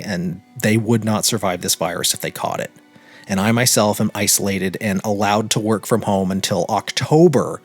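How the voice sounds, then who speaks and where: An American man hosting a podcast, speaking in an earnest, emphatic way with deliberate phrasing. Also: on the low side. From United States. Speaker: podcast host and narrator. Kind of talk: podcast introduction and personal commentary. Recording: music behind the voice. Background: background music.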